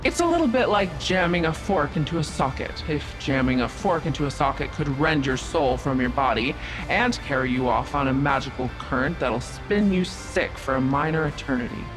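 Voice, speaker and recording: A woman playing a proud, cunning otherworldly female stranger in an audio drama, speaking in a measured, formal, dryly sarcastic manner. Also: deep voice, animated. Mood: wry warning. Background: prominent music.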